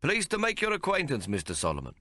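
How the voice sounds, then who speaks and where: A man's voice: huge pitch swings, quick pace, bright, forceful tone, no room sound, clean studio sound, heavily acted. A man voicing a sly, haggling little male tailor with a whiny, pleading manner in an audiobook. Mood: wheedling. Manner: whiny, pleading, high-pitched. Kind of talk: audiobook character voice.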